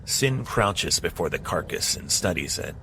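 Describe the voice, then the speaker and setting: A man giving scripted second-person narration of an action story in a steady, measured way. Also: low voice. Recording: clear background noise.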